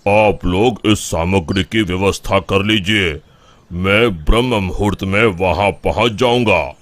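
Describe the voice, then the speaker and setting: A man playing a calm village temple priest in a cartoon story, speaking in a measured, solemn, polite way. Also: sits low, no room sound. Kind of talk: Hindi cartoon story dialogue. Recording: faint background noise.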